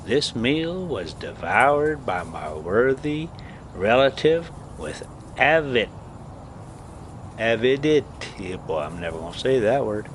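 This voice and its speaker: A man reading a story aloud as an amateur host, halting and stumbling over words now and then, with casual delivery. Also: medium pitch, sing-song, a little hiss or hum. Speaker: host reading stories aloud for a pet video channel.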